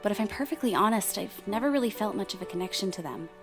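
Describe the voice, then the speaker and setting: A woman's voice: mid-range voice, expressive, fast talker, over music, natural voice. A woman playing a blunt, sardonic woman in her thirties giving candid first-person narration in a radio play. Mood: reflective. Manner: frank, sardonic, swears.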